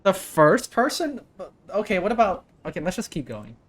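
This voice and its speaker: Musing game-reaction creator, mid-pitched voice. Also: big pitch swings, moderate pace. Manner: musing aloud, self-questioning. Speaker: gaming video creator. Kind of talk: casual game reaction commentary.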